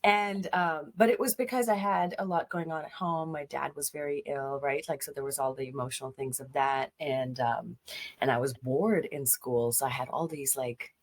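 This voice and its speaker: A woman's voice: rumbling low, fairly even intonation, normal speed. A woman in her forties from Pakistan, an education director, answering interview questions earnestly with frequent fillers.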